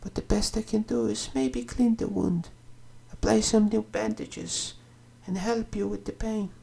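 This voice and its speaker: A woman voicing a caring middle-aged ankylosaur mother in an audio drama, gently spoken with a soft husky voice. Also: medium pitch, fairly even intonation, a little slow, heavily acted. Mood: gentle, regretful. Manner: gentle, mixes in Spanish endearments.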